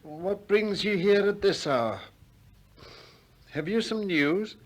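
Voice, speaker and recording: An actor playing a probing, gently ironic Greek philosopher who also narrates, in a 1960s radio play. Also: male voice, high voice. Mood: curious, teasing. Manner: questioning, gently ironic, deliberate.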